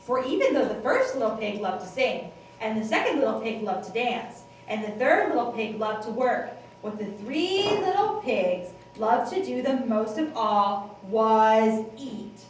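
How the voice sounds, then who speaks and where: Lively woman storyteller in an echoey room. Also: on the high side, measured pace, hardly any pauses. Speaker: storyteller, puppeteer. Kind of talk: scripted children's story narration. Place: library room. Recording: distant, very echoey room, faint noise.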